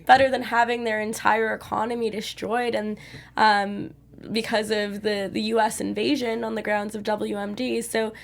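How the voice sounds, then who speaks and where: A woman's voice: mid-range voice, little pitch movement, normal speed, vocal fry. A young adult woman co-hosting a TV recap show, talking in a chatty way with many fillers. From United States. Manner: chatty, many likes and I means. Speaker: co-host. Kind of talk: casual TV recap chat.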